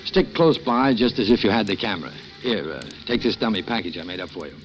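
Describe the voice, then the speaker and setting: A man playing a smooth, coaxing schemer who talks down to a young woman, in a 1940s radio drama. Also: lowish voice, animated, fast talker, a little hiss or hum, close to an everyday voice. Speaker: lead actor. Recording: dull lo-fi 1940s radio broadcast.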